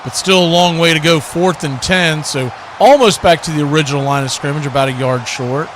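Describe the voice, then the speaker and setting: Higher-voiced man calling football play-by-play. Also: fairly quick. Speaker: live sports play-by-play commentator. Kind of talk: football radio-style play-by-play commentary with casual asides. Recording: steady background noise.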